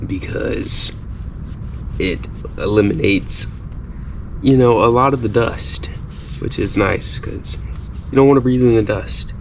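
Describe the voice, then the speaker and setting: A man talks his way through a DIY wheel job in a relaxed, chatty, self-deprecating manner. His nose sounds stuffy from a cold. Faint noise sits behind him outdoors. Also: on the low side, rough recording. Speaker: car DIY vlogger. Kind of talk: casual how-to narration. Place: outdoors while working on wheels.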